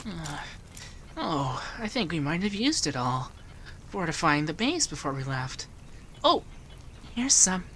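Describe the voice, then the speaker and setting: A man playing a doting, teasing feminine male monster companion in a softspoken, often whispered ASMR roleplay. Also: fairly high pitch, a little hiss or hum. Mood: easygoing, warm. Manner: breathy, often dropping to a whisper.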